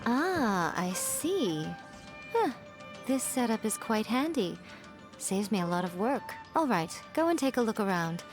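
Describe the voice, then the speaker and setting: A woman's voice: fairly high pitch, very animated, a little slow, over music. A woman voicing a sly, elegant fox-spirit shrine priestess in a video game, teasing and composed. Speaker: game character.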